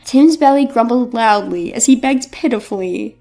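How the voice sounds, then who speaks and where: A woman's voice: fairly high pitch, lively intonation, normal speed, mellow, soft background music. A woman reading a scripted first-person story as narrator.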